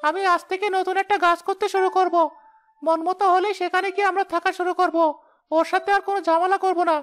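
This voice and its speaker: A woman's voice: extremely high pitch, flat delivery, fast. A woman voicing a placating, reassuring tree-dwelling ghost in a Bengali cartoon story.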